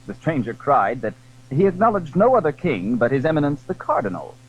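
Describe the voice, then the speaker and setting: A man's voice: a little high, sing-song, brisk, husky, highs cut off. A man narrating a classic adventure novel in dramatic, scripted storytelling style.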